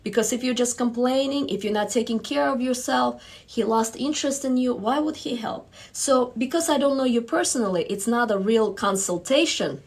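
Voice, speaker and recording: A woman's voice: on the high side, normal speed, slightly rough. A woman with a Russian accent giving direct relationship advice, reading out viewer questions and laughing between points. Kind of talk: casual live-stream advice.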